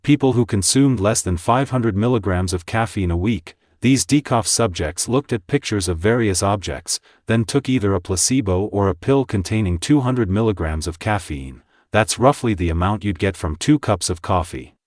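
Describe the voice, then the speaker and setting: A man's voice: fairly low pitch, animated, moderate pace, hardly any pauses, darkish tone, no echo, clean studio sound. A man reading scripted practice passages aloud for an English test.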